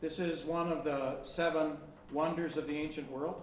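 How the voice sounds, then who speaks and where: Older pastor in a roomy hall. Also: male voice, on the high side, steady tone. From United States. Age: 60s. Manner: friendly, plain-spoken, pausing for translation. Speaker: pastor and Bible teacher. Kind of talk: teaching through an interpreter in short phrases. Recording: roomy hall with faint ambience.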